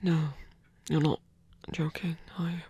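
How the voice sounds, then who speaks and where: A man playing a shy, insecure frost giant trickster god in an intimate ASMR roleplay, breathy and halting, close to the microphone. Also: a little high, flat delivery, dark tone, dry recording, exaggerated voice. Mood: disbelieving, tender. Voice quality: breathy, whispery. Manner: hesitant, halting, stammering. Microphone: close microphone, dry.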